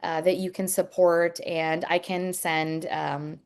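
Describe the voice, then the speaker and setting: A lower-voiced woman talks frankly about her work as an emergency room nurse. She answers questions over a video call, earnest and unpolished, often pausing on ums and restarting her sentences. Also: little pitch movement, clear, resonant tone. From Pakistani heritage.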